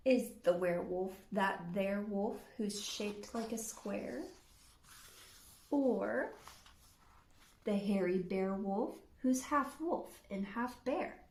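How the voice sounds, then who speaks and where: A woman's voice: mid-range voice, slow pace, a little mellow, roomy sound, a little hiss or hum, voice pushed down, poor audio. A woman voicing a big, menacing, sceptical male wolf in a children's storybook. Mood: menacing, questioning. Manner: snarling, skeptical. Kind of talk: children's storybook read-aloud.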